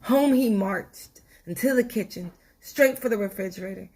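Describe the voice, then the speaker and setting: A woman reading a storybook aloud in an expressive children's narration style, with deliberate dramatic pauses. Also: mid-range voice, slight background noise. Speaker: storybook reader.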